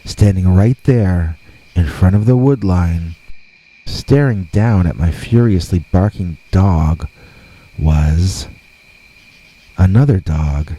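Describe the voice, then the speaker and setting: A man narrating a scripted scary story deliberately, with dramatic pauses. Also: sits low, warm tone, breathy edge, low-quality recording. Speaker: host and narrator of scary stories.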